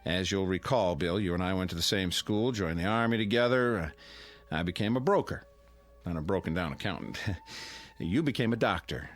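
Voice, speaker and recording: Low-voiced genial neighbor giving a toast. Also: male voice, soft background music. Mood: sentimental. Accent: American. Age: middle-aged. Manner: folksy, teasing, halting speechmaking. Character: genial, sentimental middle-aged neighbor toasting his old friend. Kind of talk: radio drama acting, after-dinner speech.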